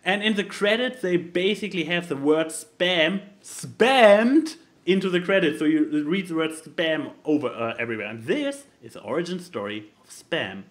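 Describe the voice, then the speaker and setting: Male podcast co-host, fairly high voice, very lively intonation, casual, emphatic, repeats words for effect. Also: moderate pace. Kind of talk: casual chat.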